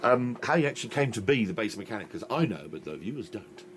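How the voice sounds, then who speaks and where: A man's voice: on the low side, very animated, fast. Middle-aged man, upbeat interviewer who jumps in a lot, faint background noise. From England. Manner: enthusiastic, playful, interjects often. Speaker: interviewer and presenter. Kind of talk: chatty interview.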